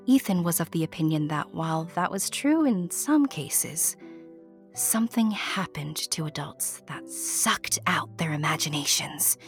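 Female storyteller, lower register, theatrical and intimate, playful direct address, soft music underneath. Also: lively intonation, measured pace. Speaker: storyteller, narrator. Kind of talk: scripted storybook narration addressed to the listener.

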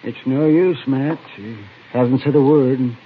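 An actor playing a plain-spoken, dour town doctor in a 1950s radio western. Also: male voice, medium pitch, unhurried, close to an everyday voice. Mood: grim, resigned. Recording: muffled, noisy old-time radio recording.

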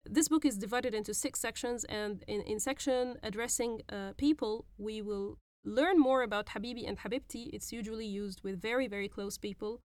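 A woman hosting a podcast and teaching phrases in a patient, explanatory way, repeating words slowly. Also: on the high side, a little mellow, dry recording. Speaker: podcast host and Arabic teacher. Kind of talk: language lesson explaining phrases.

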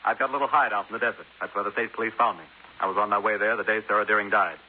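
An actor playing a brusque, impatient American physician with a curt, authoritative manner in 1950s radio drama. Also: male voice, on the low side, steady tone, very fast, natural voice. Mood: irritated. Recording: hissy, narrow-band 1950s radio recording.

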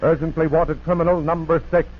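A man's voice: fairly high pitch, level tone, brisk, narrow, tinny audio, a little hiss or hum. An American man working as a program announcer in formal, emphatic 1940s radio style, on an old broadcast recording.

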